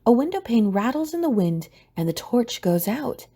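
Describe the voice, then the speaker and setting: A woman reading scripted story narration for an audio drama in a mellow, husky voice. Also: on the high side, sing-song, moderate pace.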